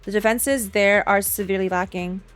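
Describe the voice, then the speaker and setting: A woman's voice: medium pitch, fairly even intonation, normal speed, a bit bright. Girl streamer reacting to a game, mid voice, casual and jokey, reads the dialogue out, bit of music behind.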